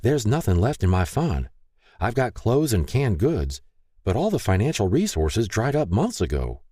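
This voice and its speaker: A man's voice: lowish voice, wildly swooping pitch, normal speed, dark tone, breathy edge, no echo, studio quality, voice pushed up, heavily acted. A man voicing a kindly, candid older small-town wife in an audiobook. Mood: pleading, indignant. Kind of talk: audiobook character dialogue.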